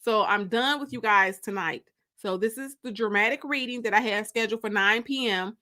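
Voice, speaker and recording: Bright, high-voiced American woman livestreaming. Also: normal speed. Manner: playful, teasing, colloquial. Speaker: livestream host and author. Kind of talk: casual livestream chat with viewers.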